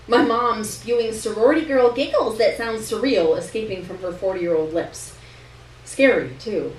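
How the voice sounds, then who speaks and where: Woman reading fiction in an echoey room. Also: mid-range voice. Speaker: story reader. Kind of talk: literary narration read aloud to an audience. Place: live reading in a roomy indoor venue. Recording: roomy distant live recording, noticeable hiss.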